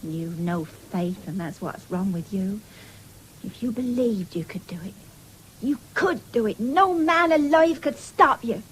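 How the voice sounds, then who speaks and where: A woman's voice: medium pitch, lively intonation, a little slow, a little hiss or hum, lightly acted, poor audio. An actress playing an earnest, nagging young country mother in a British radio drama, urging and reproachful.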